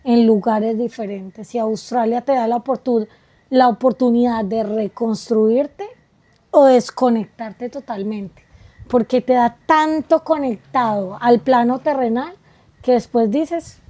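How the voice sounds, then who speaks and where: High, mellow woman musing. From Colombia. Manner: spiritual, musing, quick asides with rhetorical questions. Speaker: guest. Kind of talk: reflective conversation.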